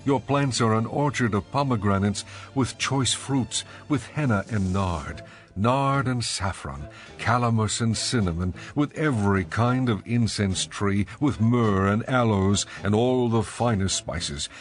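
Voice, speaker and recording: A male actor plays an ardent bridegroom in a scripture drama, adoring and tender. His voice sits low and he speaks in measured, reverent verse. Music plays faintly behind him. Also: natural voice. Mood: tender, enraptured.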